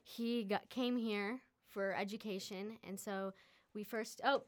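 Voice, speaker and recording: A teenage girl on a school podcast, a student guest. She sounds a little nervous and halting, and she fills her pauses with fillers. Her voice sits at a middle pitch. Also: fairly even intonation. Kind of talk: casual podcast chat.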